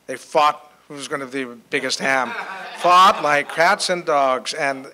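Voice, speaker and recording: An older man telling anecdotes to an audience on a panel, in a halting way. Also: on the high side, sharp tone. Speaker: panelist and author. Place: hotel conference room with an audience. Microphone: handheld microphone.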